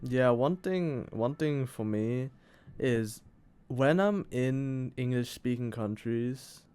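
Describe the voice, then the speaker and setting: Young Thai male co-host, English as a second language, smooth clear tone, halting delivery. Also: mid-range voice, animated, darkish tone. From Thailand. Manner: halting, with restarts and "hella" slang. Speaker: podcast co-host. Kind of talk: casual chat.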